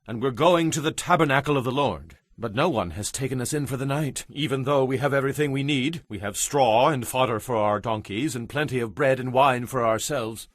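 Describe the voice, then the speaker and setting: An actor playing a resolute, earnest Levite traveler in a dramatized audio Bible, speaking in firm statements. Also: male voice, medium pitch, brisk, few pauses, no room sound, studio quality, natural voice. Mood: earnest explaining.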